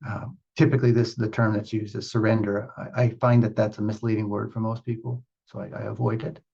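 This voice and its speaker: Low, gravelly man teaching meditation. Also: little pitch movement, darkish tone, muffled recording. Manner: halting, deliberate phrasing with pauses for emphasis. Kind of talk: reflective spiritual teaching in a group session.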